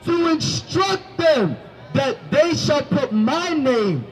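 A young man with a very high voice preaches on a busy city sidewalk, microphone in hand. He quizzes the passersby and climbs into commanding shouts. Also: very animated, slow, some chatter behind, poor audio. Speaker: street preacher. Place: city sidewalk by a food cart. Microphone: handheld microphone.

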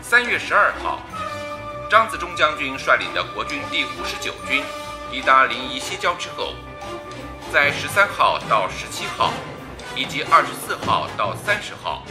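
An actor playing a 1930s wartime radio news announcer, reading a formal bulletin in a declamatory way. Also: male voice, medium pitch, big pitch swings, normal speed, music in the background, natural voice. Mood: formal, urgent.

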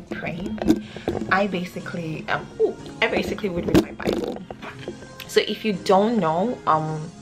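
A young woman in her twenties from Nigeria, with a Nigerian accent, talking casually and earnestly into a close camera in a small bedroom, rambling with fillers as she reads a devotional. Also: on the low side. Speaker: vlogger. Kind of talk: casual devotional vlog, praying and reading aloud. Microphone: camera close up at face level.

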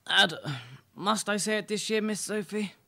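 A woman's voice: medium pitch, fairly even intonation, moderate pace, vocal fry, pushed and bright. A woman acting a deferential, formal male butler in an amateur radio play, repeating himself obligingly. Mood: reluctant, obliging. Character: James, deferential elderly male English butler.

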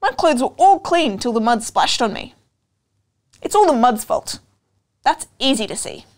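A woman voicing a mischievous, anxious young schoolboy in a children's storybook, with expressive exclamations. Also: high voice, normal speed. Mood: defensive. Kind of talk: children's storybook read-aloud.

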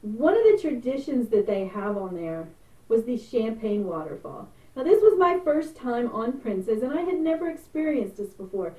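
A woman's voice: fairly high pitch, big pitch swings, normal speed, warm tone. A middle-aged woman hosting a travel vlog, chatting casually and explaining step by step. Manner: chatty, gestures with hands while explaining. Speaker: travel vlogger. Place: small indoor room before a purple curtain. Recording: roomy, distant, phone-like sound.

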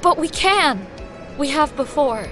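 Young horror-drama heroine, high, animated and insistent, music underneath. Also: female voice, measured pace. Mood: pleading. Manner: earnest, insistent. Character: determined, pleading young woman caught in horror. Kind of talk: horror audio drama acting.

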